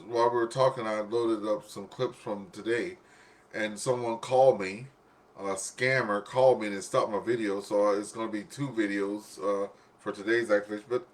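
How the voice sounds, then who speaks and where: A man's voice: lowish voice, fairly even intonation, moderate pace. Male radio net host, casual and conversational, acts out little exchanges as he tells stories.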